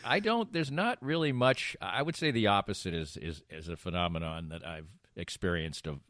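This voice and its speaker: A man's voice: low-pitched, expressive, moderate pace, fairly bright tone, no room sound, studio quality. A middle-aged man in casual banter, rambling and emphatic, often repeating himself as he goes. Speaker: radio show and podcast host.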